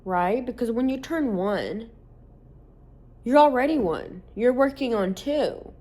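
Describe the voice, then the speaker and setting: A woman's voice: a little high, lively intonation, slow, mellow. A woman in her late twenties talking candidly in a casual vlog, rambling with lots of "like" and casual swearing. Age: late 20s. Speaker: social media creator.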